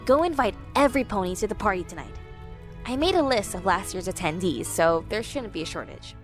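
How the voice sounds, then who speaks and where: A woman's voice: medium pitch, expressive, fairly quick, soft background music, close to an everyday voice. A woman playing a stern but loving pony princess mother in an audio drama, composed and firm. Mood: softened.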